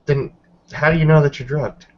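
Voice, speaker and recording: A man voicing a cautious, questioning woman in a tabletop roleplaying game, speaking in a measured, hesitant way. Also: a little high, steady tone, dull audio, close to an everyday voice. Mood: probing.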